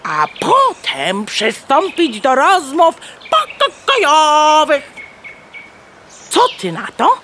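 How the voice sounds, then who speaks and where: A woman's voice: high voice, wildly swooping pitch, drawn-out words, pushed and bright, a little hiss or hum, full character voice. A woman voicing a bossy, clever mother hen in a children's audio drama, declaiming and clucking between her words. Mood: determined, conspiratorial.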